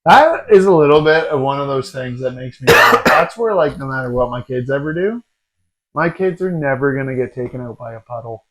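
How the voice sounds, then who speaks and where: A man's voice: mid-range voice, a little fast, low-quality recording. Swearing man in his forties, chatting casually. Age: 40s. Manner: swearing, animated storytelling, says dude a lot. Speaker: podcast host. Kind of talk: casual chat.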